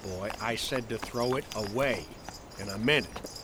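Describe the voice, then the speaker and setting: An actor playing a hearty, gruff but tender frontier prospector in an American Western radio drama, with a folksy way of talking. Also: male voice, on the low side, a little slow, noisy background, natural voice. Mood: stern.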